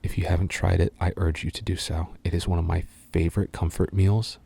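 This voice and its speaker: A young adult man from the United States, talking in a soft, half-whispered way and rambling through fan questions with lots of ums and uhs. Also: sits low, flat delivery, fairly quick, vocal fry, slightly rough. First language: English. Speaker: voice actor answering fan questions.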